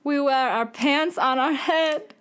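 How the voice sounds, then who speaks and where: A woman's voice: sits high, slow pace, a bit bright, slight background noise. A woman playing a laid-back beatnik cool cat from the moon in a children's radio play, talking in hip, drawling slang. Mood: playful, rhythmic.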